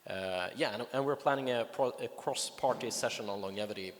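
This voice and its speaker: A man's voice: medium pitch, a little fast. Swedish-accented man talking in a hall. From Sweden. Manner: enthusiastic, lots of fillers and restarts. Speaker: conference speaker. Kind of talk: conference talk. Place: conference hall. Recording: reverberant hall.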